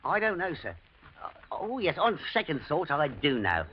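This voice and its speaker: An actor playing a fretful, deferential gardener in an old radio drama, with folksy, muttering servant speech. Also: male voice, on the high side, wildly swooping pitch, normal speed. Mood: wary, deferential. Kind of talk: 1940s radio acting. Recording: muffled, hissy old radio broadcast.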